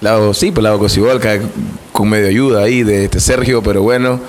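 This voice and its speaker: Low-voiced man interviewing. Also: fairly even intonation, fairly quick, a little hiss or hum. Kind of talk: conversational interviewing.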